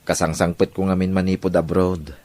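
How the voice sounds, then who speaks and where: A man's voice: deep voice, little pitch movement, brisk, darkish tone, no room sound, close to an everyday voice. A man with an Ilocano accent playing an apologetic widowed father who coaxes his small child in an audio drama. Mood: earnest.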